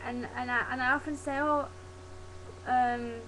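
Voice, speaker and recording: A young woman with a softened Yorkshire accent talks casually into her webcam. Her voice sits a little high and sounds bright and forward. A faint hum runs underneath. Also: fairly even intonation, unhurried, rough recording. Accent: Yorkshire, softened toward standard British English. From Yorkshire, England. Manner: chatty, demonstrates dialect words, laughs at herself. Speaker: vlogger. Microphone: webcam mic.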